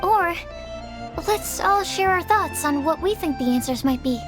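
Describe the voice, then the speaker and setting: A composed young woman from a video game, thoughtful and articulate. Her voice is very high, and she words things politely and in order. There is faint music behind her. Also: lively intonation. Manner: polite, orderly, measured wording.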